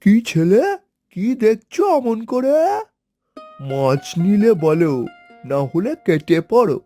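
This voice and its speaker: An actor plays a persuasive fish seller in a cartoon. The voice is mellow and coaxing, with plucked-string music behind it. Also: medium pitch, very animated, slow. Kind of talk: Bengali cartoon voice acting.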